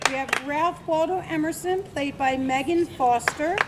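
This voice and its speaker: A woman's voice: high-pitched, unhurried, heavy background noise. A young woman narrating a school play, reading the text aloud plainly.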